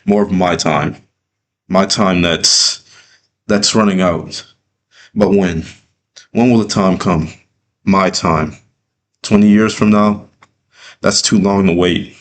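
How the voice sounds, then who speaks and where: A man playing a brooding, driven orphaned boy in an earnest, halting, melodramatic storybook reading. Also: low voice, steady tone, a little hiss or hum. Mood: anguished, restless. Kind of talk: dramatic first-person storybook read-through.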